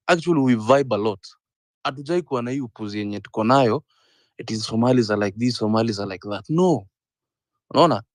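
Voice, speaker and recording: A man in his thirties with a Kenyan accent, speaking earnestly in a panel discussion, often pausing on "uh" and repeating his points. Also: mid-range voice, darkish tone, no room sound. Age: 30s. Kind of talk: impassioned discussion, mixing English and Swahili.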